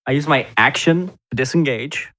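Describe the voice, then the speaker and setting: A man's voice: mid-range voice, normal speed, a bit bright. A man talking casually as a player at a tabletop game, explaining his turn step by step.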